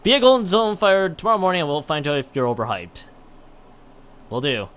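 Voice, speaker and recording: A man's voice: fairly high pitch, very animated, a little fast, a bit bright, clear, resonant tone. A man commentating on a game stream and reading game text aloud in a rambling way, often stumbling and correcting himself. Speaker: live streamer.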